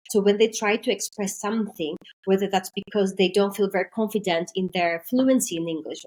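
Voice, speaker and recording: A woman's voice: mid-range voice, a little mellow, poor audio. Woman explaining carefully. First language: Greek. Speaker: podcast guest, maritime trainer. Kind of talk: podcast interview.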